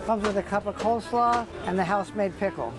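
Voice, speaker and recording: A man's voice: high voice, quick pace, crowd noise. A middle-aged man, a deli owner, casually explaining his work in the kitchen. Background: music.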